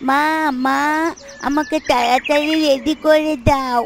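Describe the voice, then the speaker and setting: Woman playing very high-pitched eager little girl. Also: fairly even intonation, unhurried, vocal fry, background noise. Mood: eager, affectionate. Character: eager, affectionate little village schoolgirl daughter. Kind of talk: Bengali family story drama acting.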